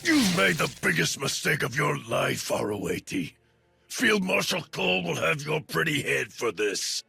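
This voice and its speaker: An actor playing an arrogant, menacing sci-fi raider enforcer who taunts and intimidates in a rough voice, as in a video game cutscene. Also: male voice, a little high, very animated, measured pace, sharp tone, faint music behind, exaggerated voice. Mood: angry threats. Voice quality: gravelly, rough.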